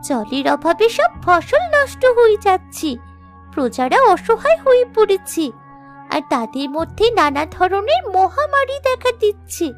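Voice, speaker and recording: Woman as a very high-voiced young princess. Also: sing-song, measured pace, soft background music, full character voice. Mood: earnest, pleading. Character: kind, selfless young princess pleading for her people. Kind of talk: dubbed cartoon fairy tale acting.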